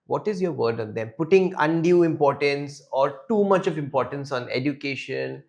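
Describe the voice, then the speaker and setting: A man's voice: fairly high pitch, animated, normal speed, creaky, a little mellow. Agreeable Indian-accented male interviewer. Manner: agreeable, frequent short affirmations. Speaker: podcast interviewer. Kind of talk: conversational interview.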